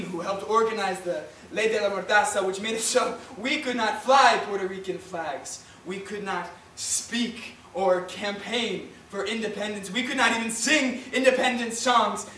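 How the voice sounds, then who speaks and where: A man playing an earnest, proud old Puerto Rican grandfather who deliberately tells his history in a stage play. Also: high voice, pushed and bright. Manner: deliberate, storytelling, slips into Spanish. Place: indoor stage, recorded from far off. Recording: roomy, distant theatre recording, faint noise.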